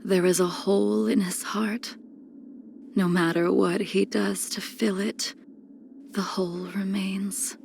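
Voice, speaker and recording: An ageless, melancholy swordswoman from a video game. She speaks slowly and formally in a mid-pitched voice with a creaky edge. Her phrases are cold and solemn, often sinking into a breathy near-whisper. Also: level tone, darkish tone, a little hiss or hum. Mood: somber. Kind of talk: scripted video game character lines.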